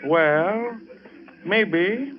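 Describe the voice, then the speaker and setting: An actor playing a slow-witted, hesitant ticket clerk in a 1940s radio drama, drawling vaguely. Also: male voice, sits high. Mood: vague, puzzled. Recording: muffled old radio recording.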